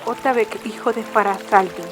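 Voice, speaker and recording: A performer voices a young male traveller of royal birth in an audio drama. He is reserved, proper and a little lost, speaking hesitantly over clearly audible music. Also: medium pitch, moderate pace, natural voice. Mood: bewildered. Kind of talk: audio fiction character acting.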